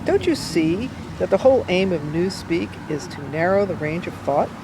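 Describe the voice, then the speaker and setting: A woman reads an essay aloud outdoors, with deliberate, emphatic phrasing and pauses. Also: fairly low pitch, lively intonation. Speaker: amateur reader. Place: outdoors by a cafe in town. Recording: noisy outdoor street recording, traffic sounds. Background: urban outdoor ambience, vehicles.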